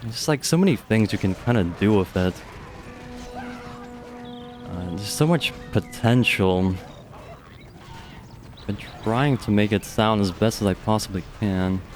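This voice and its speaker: A young man with a deep voice doing casual, chatty live commentary on a strategy game match, speaking into a studio microphone and swearing offhandedly. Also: very animated, slow, pauses often, faint music behind. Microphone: studio dynamic microphone.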